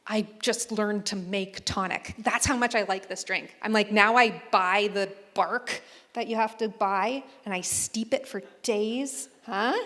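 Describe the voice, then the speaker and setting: Woman on a panel stage, bright tense voice, lively storytelling, long hall reverb. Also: medium pitch. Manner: animated storyteller, playful voices. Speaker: convention panel guest. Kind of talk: casual panel chat.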